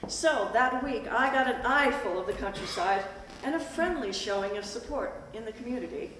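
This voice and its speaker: A woman's voice: on the high side, expressive, moderate pace, fairly bright tone. Woman playing gracious 1920s lady organizer, echoey hall. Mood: storytelling. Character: Miss Butler, earnest gracious woman school organizer of the 1920s. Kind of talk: amateur stage play, narrating and acting. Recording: distant echoey hall recording, background noise.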